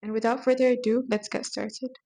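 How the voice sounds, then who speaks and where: A woman's voice: on the high side, little pitch movement, fairly quick, warm tone, slight background noise. A young adult woman hosting a podcast, reading prepared questions with hesitant ums. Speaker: podcast host.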